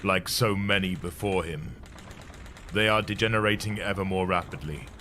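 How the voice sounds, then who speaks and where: A man narrates a dramatic story in the first person with a low voice. Clear ambient noise runs behind his narration. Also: normal speed, bright, forceful tone.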